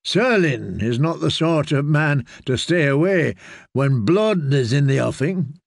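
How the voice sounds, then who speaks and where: A man voicing a smooth, wry, scheming male lord protector. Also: on the high side, expressive, unhurried, dry recording, studio quality, heavily acted.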